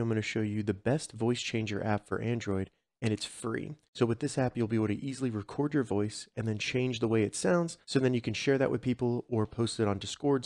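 A man narrates a how-to tutorial as its host. His pitch is average, and he lays out instructions step by step. The sound is close and free of room echo. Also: a little mellow. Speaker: host of tech tutorial channel.

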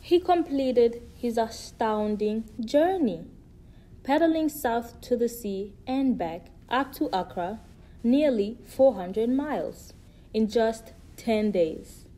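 A woman reading a picture book aloud in a measured way, stressing key words. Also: on the high side, expressive, mellow. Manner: measured storybook reading with emphasis. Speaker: student reader.

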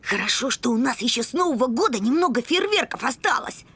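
A woman voicing an energetic, blunt but good-hearted boy puppy in a children's cartoon. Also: fairly high pitch, fast, husky, heavily acted. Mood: blunt, unimpressed. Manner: blunt, colloquial, excitable. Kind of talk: animated children's cartoon acting. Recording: loud music behind the voice.

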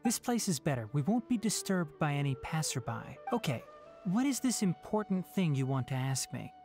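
A serious young ranger scholar. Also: male voice, fairly high pitch, big pitch swings. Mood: measured. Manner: measured, earnest, firm. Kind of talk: video game voice acting. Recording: game audio with soft music behind.

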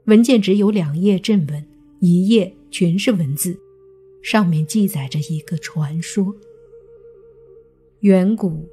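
Casting: female audiobook narrator for suspense fiction, unhurried and mellow, light music underneath. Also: mid-range voice, breathy edge. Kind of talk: scripted suspense story narration. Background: background music.

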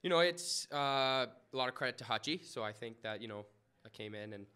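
A young man answering reporters after a game in an indoor press room, humble and halting, with many fillers and restarts. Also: mid-range voice, animated, pushed and bright, clear, resonant tone. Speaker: lacrosse goalie. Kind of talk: post-game press interview. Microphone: podium mic in front.

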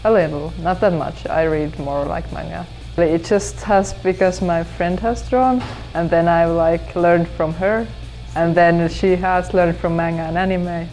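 A teenage girl from Finland, speaking English as a second language, answering interview questions hesitantly with many fillers and soft laughs in a school hallway. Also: fairly low pitch, low-quality recording. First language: Finnish. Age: teens. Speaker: exchange student interviewee. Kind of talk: casual interview.

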